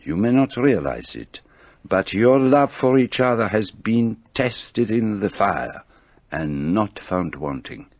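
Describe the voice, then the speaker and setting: A man's voice: lowish voice, very animated, takes it slowly, no room sound, lo-fi sound, full character voice. An actor playing a shrewd, fussy Belgian detective in a radio play, speaking precisely and courteously as he lays out his reasoning. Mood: gentle.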